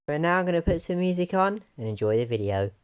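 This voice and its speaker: A man narrates a casual vlog about hunting for retro games. His voice is croaky from a cough and sore throat, and he talks in a chatty, enthusiastic way. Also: on the high side, big pitch swings, fast, soft background music. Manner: chatty and enthusiastic, repeats "really, really". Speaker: retro game collector vlogger.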